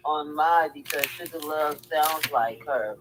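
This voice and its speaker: A man co-hosting a livestream who reads viewer comments aloud in a relaxed way. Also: a little high, a little slow, noisy background.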